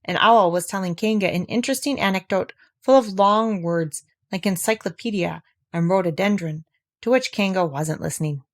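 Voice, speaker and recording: A woman reading a children's storybook aloud, telling it gently and with life. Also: mid-range voice, moderate pace. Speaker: narrator reading a children's book.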